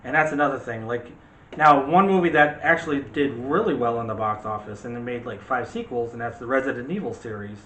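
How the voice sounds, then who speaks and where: A man's voice: mid-range voice, fairly quick, bright, forceful tone. Man chatting casually in an echoey room. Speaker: co-host of a movie discussion show. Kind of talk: casual chat. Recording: roomy echo, faint background noise.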